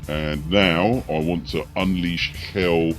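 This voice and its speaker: A man's voice: low voice, steady tone, slow, soft background music. A man giving tactical commentary on a video game as he plays, talking through each move and reacting to it. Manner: narrates tactics and reacts to the action. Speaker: gaming video host.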